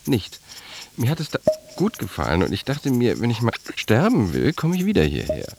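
An actor playing a weary, despairing, darkly wry man in a halting radio play monologue. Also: mid-range voice, wildly swooping pitch, background noise. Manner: halting, self-correcting.

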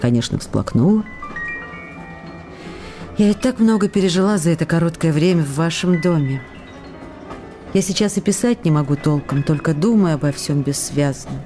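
A lovestruck young actress in a radio play. Her voice is soft-toned, warm and on the low side, intimate and wistful as she reads letters to her beloved. She lingers in pensive pauses, with music playing behind her. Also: expressive. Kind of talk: radio play, dramatic reading of love letters.

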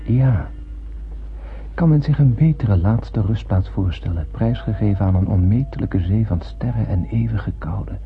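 An actor playing an astronaut who narrates a solemn space tale in poetic, measured radio play style. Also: male voice, lowish voice. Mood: solemn, wondering. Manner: poetic, measured literary storytelling. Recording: muffled phone-like old radio recording, noisy.

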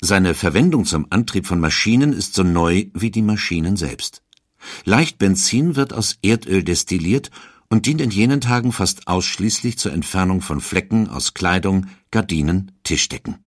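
A man narrating a documentary audiobook in a calm, explanatory manner. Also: low voice, normal speed, breathy edge, no echo, clean studio sound.

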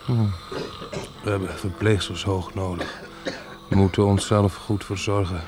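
A man's voice: low voice, fairly even intonation, a little slow, over music. Hesitant, questioning male hero. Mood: pensive. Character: sceptical, bewildered male hero of a disaster story. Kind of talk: radio drama acting.

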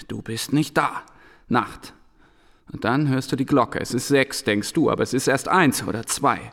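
An actor playing a weary, tormented ex-officer, an invalid home from war, in a radio play. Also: male voice, medium pitch, a bit bright. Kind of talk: German radio play acting. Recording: long hall reverb.

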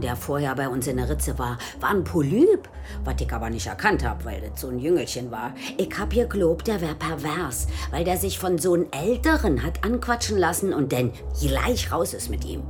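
A woman's voice: extremely low pitch, lively intonation, normal speed. A woman playing a plain-spoken, slangy tavern landlady in a radio drama. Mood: blunt, gossipy. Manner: colloquial, blunt. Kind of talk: German radio drama acting. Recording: loud music behind the voice.

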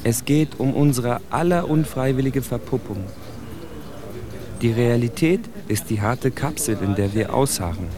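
A man's voice: mid-range voice, a little slow, a crowd in the background, natural voice. A man playing a bitter, articulate immigrant in a literary radio drama monologue, with intense, rhetorical phrasing. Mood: grim, reflective. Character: bitter, articulate immigrant man indicting his generation's plight. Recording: music bed under the voice.

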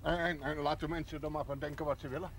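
A man's voice: on the high side, level tone, fast talker, a bit bright, slight background noise. A man playing a jolly, blunt old village man, teasing and folksy, in a television drama. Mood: jovial teasing. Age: older. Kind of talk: television period drama acting.

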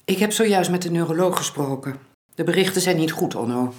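Actress as sober mother-in-law, radio play; low voice, calm and grave. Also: fairly quick, close to an everyday voice. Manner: sober, breaking bad news. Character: sober mother-in-law delivering grim news. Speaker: Sophia Brons, mother-in-law. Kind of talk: radio drama acting.

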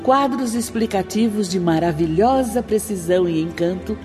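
A woman's voice: medium pitch, animated, normal speed, natural voice. A Brazilian woman acting an exalted spirit sister who instructs souls in formal, reverent radio-drama oratory. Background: music.

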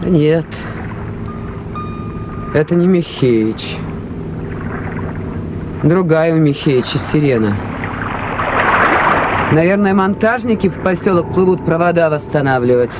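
An actress playing a remorseful, weary woman who leads a river crew, speaking gravely and haltingly in a Soviet radio play. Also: low voice, animated. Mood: wary, resolute. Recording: noisy 1980s radio play, boat sounds.

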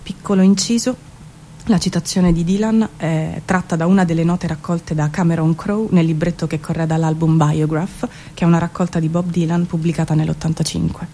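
A woman giving an expressive, measured theatrical spoken-word reading with dramatic pauses. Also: fairly low pitch, a little hiss or hum. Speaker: performer reading her own poetic prose. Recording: music behind the voice. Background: music.

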